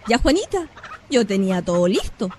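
A woman's voice: mid-range voice, sing-song, moderate pace, a little mellow, lightly acted. A Chilean actress playing a working-class mother and neighbour, generous and resigned, in a radio drama. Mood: neighbourly. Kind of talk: radio play acting. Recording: noisy, farmyard-like ambience.

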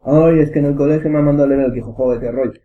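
A man's voice: medium pitch, fairly even intonation, very fast. A man with an accent from Spain, chatting casually as a panelist on a podcast. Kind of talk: casual chat. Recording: thin, phone-like band-limited sound.

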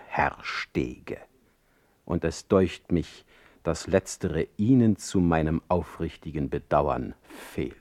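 An actor playing a sardonic, proud exiled poet in a radio play, speaking in eloquent, ironic, deliberately phrased monologue. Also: male voice, sits low, a little mellow, no echo. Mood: proud, cutting.

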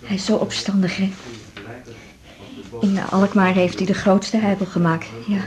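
Anxious, chatty middle-aged wife played by an actress. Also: female voice, medium pitch, a little mellow, lightly acted. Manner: nervous, hesitant, homely, confiding. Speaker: actor in a radio drama. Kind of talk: 1980s naturalistic Dutch radio drama acting.

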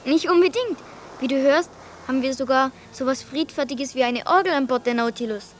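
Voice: high voice, brisk, slight background noise, natural voice. An actor in a German radio play voicing an eager child who earnestly play-acts and directs the game. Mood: anxious.

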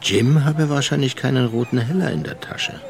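An actor playing a worldly, reflective seafaring gentleman who narrates and talks in a measured way in a radio play. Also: medium pitch, gravelly, background noise, natural voice.

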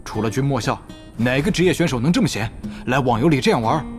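一名男演员在广播剧里饰演一位警惕、精于算计、说话有威严的网游公会会长。. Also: male voice, mid-range voice, animated, fast talker, over music, natural voice. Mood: suspicious, scheming. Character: wary, calculating male online game guild leader. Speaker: voice actor. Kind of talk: Chinese audio drama acting.

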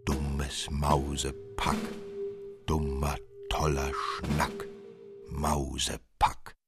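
A man voicing a scornful wooden nutcracker soldier in a fairy tale, chanting slowly in clipped, gruff rhythm. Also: very deep voice, completely flat delivery, over loud music, voice pushed down, heavily acted. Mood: scornful, menacing.

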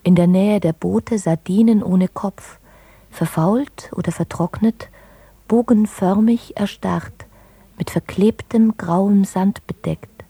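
Slow, mellow woman narrating literary prose. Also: mid-range voice, slightly rough, no echo. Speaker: narrator in an audio drama.

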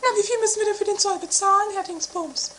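A woman's voice: very high voice, fast, sharp tone. A woman playing a bossy, quarrelsome treasure-hunting sister in a theatrical 1980s amateur radio comedy. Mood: argumentative. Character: bossy, bickering anarchic castle sister on treasure hunts. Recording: noisy lo-fi 1980s radio play tape.

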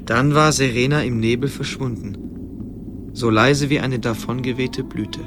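An actor as the calm narrator of a fantasy radio play, reading in an atmospheric storybook style. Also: male voice, medium pitch, measured pace, lightly acted. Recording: clear ambient noise behind narration.

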